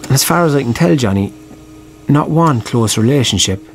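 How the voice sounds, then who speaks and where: An actor playing a probing, reflective writer with an Irish accent, narrating and talking in a radio drama. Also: male voice, medium pitch, animated, normal speed, slight background noise, lightly acted. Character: probing, reflective male writer telling a troubled friend's story.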